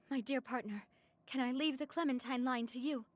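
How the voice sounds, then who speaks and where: A woman's voice: sits high, normal speed, lightly acted. An actress playing a determined young woman leader who speaks in an urgent, commanding way in a video game.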